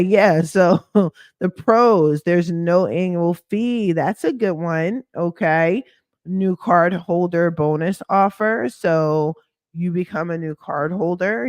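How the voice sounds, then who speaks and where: Hoarse, deep-voiced woman, sassy vlogger. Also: a little mellow, dry recording. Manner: candid and slangy, with sassy asides. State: hoarse, deepened voice from a cough. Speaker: credit repair business owner. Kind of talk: casual screen-share walkthrough.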